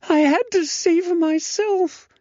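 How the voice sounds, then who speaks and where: A man voicing a distraught young gentlewoman with a high, wailing voice, in a dull, dry audiobook recording. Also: unhurried, dry recording. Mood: distressed.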